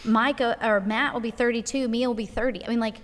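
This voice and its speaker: A woman's voice: a little high, steady tone, fast, long reverb tail. A woman in her forties hosting a casual church podcast conversation, chatty and rambling with lots of fillers. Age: 40s.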